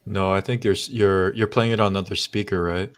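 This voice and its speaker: A man's voice: low-pitched, fairly even intonation, a little fast, very noisy. A man from the United States chatting casually on a group stream. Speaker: stream chat participant. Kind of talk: casual chat.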